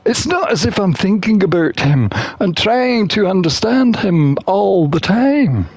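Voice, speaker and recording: Gamer reading a story game aloud: slow pace, very varied pitch, dry sound. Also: big pitch swings, darkish tone, dry recording. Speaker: gamer playing and narrating. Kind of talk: reading a visual novel aloud, voicing characters.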